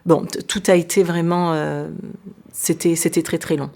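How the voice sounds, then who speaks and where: A woman's voice: lowish voice, level tone, normal speed, gravelly, dark tone. A middle-aged woman born in France, with Arabic as her first language, speaking as a local elected official in a radio interview, hesitant with many fillers and restarts. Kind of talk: radio interview, recounting events.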